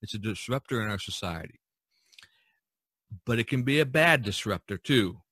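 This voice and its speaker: Male podcast host, middle-aged, bright forceful tone, close dry sound, emphatic conversational delivery. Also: on the low side, animated, moderate pace, slightly rough, dry recording.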